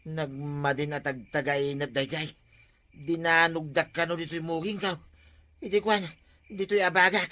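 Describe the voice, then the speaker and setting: Actor as bright-voiced, whiny, hapless village husband. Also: male voice, on the high side, fairly even intonation, measured pace. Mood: groaning, rattled. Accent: Ilocano. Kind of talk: Ilocano radio comedy drama acting.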